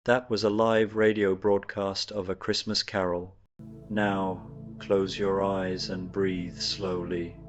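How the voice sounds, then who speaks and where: Deep, flat, soothing male sleep voice. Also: lo-fi sound, faint music behind. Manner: soft, soothing, slow. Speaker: sleep story host. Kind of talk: calm guided relaxation.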